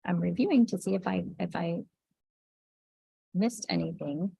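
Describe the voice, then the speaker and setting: Woman, shop owner on a livestream, with a cold, sniffly and raspy, very gravelly, casual. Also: lowish voice, moderate pace, dark tone, no echo, rough recording. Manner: chatty, reads out viewer comments by name, many ums. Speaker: yarn shop owner hosting a weekly livestream. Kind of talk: casual chat with viewers.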